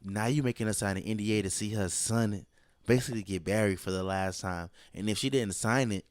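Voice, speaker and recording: A man's voice: lowish voice, fairly quick, no room sound. A man co-hosting a podcast with casual, slangy, disapproving commentary, often repeating his phrases. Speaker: podcast co-host.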